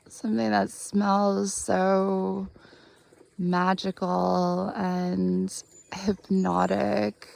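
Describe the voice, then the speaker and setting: A woman in her thirties guides a meditation with a heavily creaky voice. She speaks extremely slowly, leaving long pauses, and keeps a gentle, earnest tone with little rise and fall in pitch. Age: 30s. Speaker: guided meditation host, healer. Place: outdoors in the woods by a spring.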